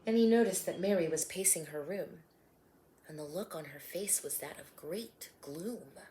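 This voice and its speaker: A woman narrating her own rhyming storybook in a gentle, lilting way, softening to a hush at line ends. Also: fairly low pitch, normal speed. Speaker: author and storyteller.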